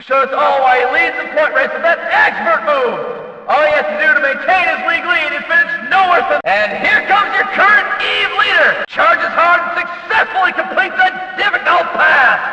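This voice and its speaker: A race announcer for a racing video game, with a very high, piercingly bright voice. He delivers punchy, opinionated stock-car commentary with excitable emphasis. The sound is heavily echoed, like a distant public-address system. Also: full character voice. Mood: excited.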